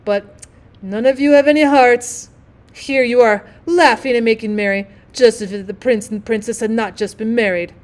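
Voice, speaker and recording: A woman's voice: a little high, fairly even intonation, normal speed, close to an everyday voice. A woman voicing a vain, self-obsessed male firework rocket in a storybook reading, with haughty, indignant, self-important delivery. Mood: indignant, scornful.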